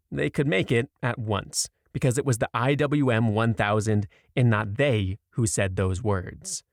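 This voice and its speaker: A man narrating a short story aloud in a measured, clearly read storytelling delivery. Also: mid-range voice, no room sound, clean studio sound.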